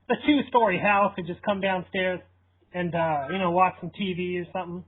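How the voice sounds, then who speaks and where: Man playing a high-voiced, rambling, nonchalant parent. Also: steady tone, fairly quick. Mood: nonchalant, instructive. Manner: hesitant, rambling, nonchalant salesman-like persuasion. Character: casual, shameless, negligent parent and firefighter. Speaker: prank caller. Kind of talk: deadpan improvised prank phone call. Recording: thin phone-line radio-like sound.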